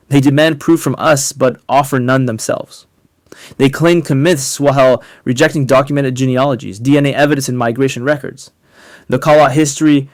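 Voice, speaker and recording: A man delivering a polemical monologue as an online commentator, speaking emphatically and rhetorically with deliberate pauses on key words. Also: medium pitch, no echo, clean studio sound. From North Africa. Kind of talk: polemical monologue to camera, partly scripted.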